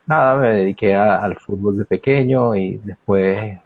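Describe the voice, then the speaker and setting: A Chilean man with a low, husky voice answers calmly, pausing and repeating himself. His video-call audio is thin and dry, as if heard through a phone. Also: no echo, narrow, tinny audio. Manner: relaxed, frequent hesitations and repeated words. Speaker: football coach. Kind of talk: interview answers.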